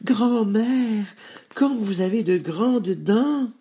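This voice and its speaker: A man plays an innocent little girl in a children's tale. The voice is pitched high and childlike, polite and full of wide-eyed wonder. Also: lively intonation, very slow, warm tone, exaggerated voice. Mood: astonished.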